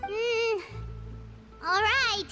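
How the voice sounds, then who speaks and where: A woman voicing a small, excitable, childlike fairy companion in a video game, squeaky and chirpy. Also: drawn-out words, over loud music, full character voice. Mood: eager. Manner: refers to self in third person, chirpy. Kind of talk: animated game dialogue.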